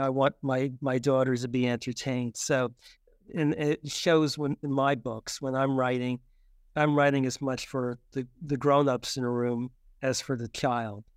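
A middle-aged man talking casually as an interview guest, halting as he thinks aloud. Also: mid-range voice, no echo, studio quality. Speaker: guest, children's book author.

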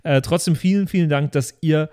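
Male co-host, fairly high voice, informal and slangy, chatting among friends. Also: a little fast, a little mellow, smooth. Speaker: podcast co-host closing a listener Q&A episode. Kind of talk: casual chat among friends.

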